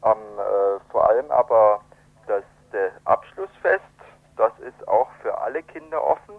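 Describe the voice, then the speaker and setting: A man being interviewed, explaining things in a halting way and searching for words, over a telephone. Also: on the low side, animated, creaky, dry recording. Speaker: event organiser, interviewed guest. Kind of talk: spontaneous radio interview by phone. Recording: narrow tinny telephone line.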